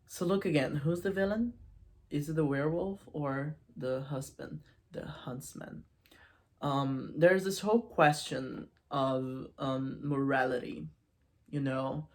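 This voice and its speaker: A woman casually retelling and analysing a story in an informal, animated storytelling manner. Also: low-pitched. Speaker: video essayist and book reviewer.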